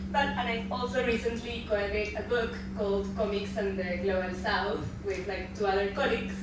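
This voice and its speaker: Nervous, halting woman panel moderator in her thirties; distant mic, big echoey room. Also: mid-range voice. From Peru. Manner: hesitant, many ums and restarts. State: a bit nervous. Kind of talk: live panel introduction. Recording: echoey room from far off with noise.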